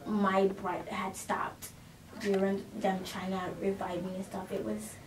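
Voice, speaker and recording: A woman in her thirties from Jamaica, a guest calmly telling her own story in an interview. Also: mid-range voice, unhurried. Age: 30s. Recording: roomy sound, distant mic, faint noise.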